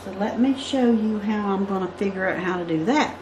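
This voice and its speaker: A woman's voice: mid-range voice, a little fast, noisy background. A woman teaching a pattern-drawing tutorial, chatting through each step as she works at a table. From United States. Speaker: craft instructor. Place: indoors at a work table.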